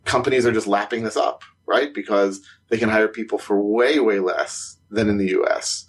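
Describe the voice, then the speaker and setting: A man on a podcast talks in a relaxed, chatty way with a low, slightly husky voice. He gets animated, his pitch jumping up when a point amuses or surprises him, and he laughs easily mid-sentence. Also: moderate pace. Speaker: podcast co-host, consultant. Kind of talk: casual conversational chat.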